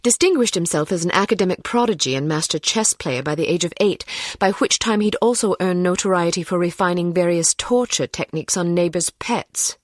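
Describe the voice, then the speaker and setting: An actress playing a determined, sharp-tongued young British spy in a video game, speaking in a dry, articulate way. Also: female voice, on the low side, fairly quick, dry recording, lightly acted. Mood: matter-of-fact briefing.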